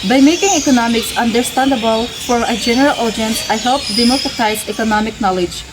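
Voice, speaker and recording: A woman's voice: fairly high pitch, a little fast. A young woman reading out a scripted first-person speech as a student presentation, in a recited manner. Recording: noisy ambient background.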